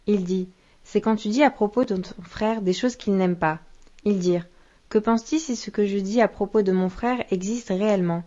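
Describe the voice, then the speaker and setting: A woman acts as a solemn religious teacher in a children's cartoon. She explains patiently in a mid-pitched voice. The soundtrack is slightly dull and muffled. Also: normal speed, mellow, lightly acted. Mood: solemn, instructive.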